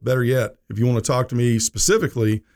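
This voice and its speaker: A man's voice: mid-range voice, fairly even intonation, fast talker, a little mellow. A middle-aged man hosting a financial radio show, talking in a folksy, rambling way with lots of "you know". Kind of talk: casual radio talk.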